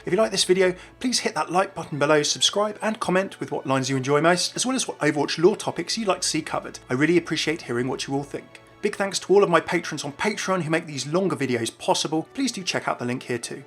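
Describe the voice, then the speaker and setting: Fast-talking man, chatty game commentary. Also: fairly high pitch, fairly bright tone. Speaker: video game lore video host. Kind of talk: scripted voice-over commentary.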